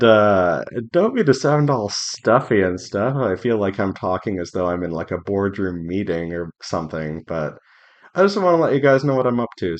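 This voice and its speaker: Low-voiced man giving a casual devlog. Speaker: indie visual novel developer giving a devlog. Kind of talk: casual unscripted devlog update.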